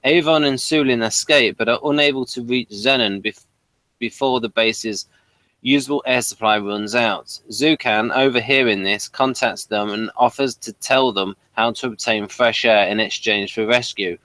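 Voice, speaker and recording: A man's voice: mid-range voice, moderate pace, pushed and bright, no echo, poor audio. A man hosting a review show, reading out summaries and putting questions. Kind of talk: reading an episode synopsis, then prompting discussion.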